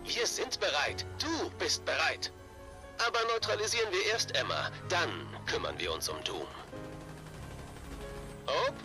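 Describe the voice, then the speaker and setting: A man voicing an anxious but determined hero ally in a German-dubbed video game, urgent and halting. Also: a little high, sing-song. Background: game music.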